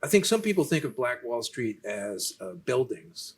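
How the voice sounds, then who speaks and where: A soft-spoken man, lecturing and answering questions in a halting way, with many restarts. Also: mid-range voice, big pitch swings, breathy edge. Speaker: featured lecturer in a Q&A. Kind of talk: unscripted lecture conversation.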